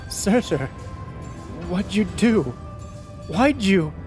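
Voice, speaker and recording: A man's voice: high voice, expressive, drawn-out words, over music, exaggerated voice. A man playing a hot-tempered, overbearing warrior king in an audio drama, commanding and indignant. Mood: shaken.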